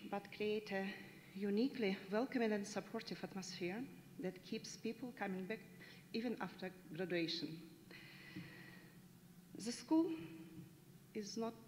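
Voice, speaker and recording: Russian-accented woman speaking in a hall. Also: medium pitch. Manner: earnest, heartfelt reading. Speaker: teacher assistant. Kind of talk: prepared graduation speech. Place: large school hall. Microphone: podium mic. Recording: reverberant hall, faint background noise.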